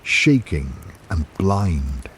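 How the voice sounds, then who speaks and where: Deep-voiced male radio drama narrator. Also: big pitch swings, extremely slow, a little hiss or hum. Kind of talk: scripted old-time radio narration.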